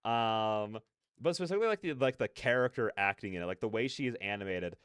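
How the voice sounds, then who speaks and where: A man hosting a casual reaction livestream, rambling in chatty, slangy speech with an animated, self-deprecating manner. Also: fairly low pitch, brisk, clear, resonant tone, dry recording, clean studio sound. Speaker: livestream host.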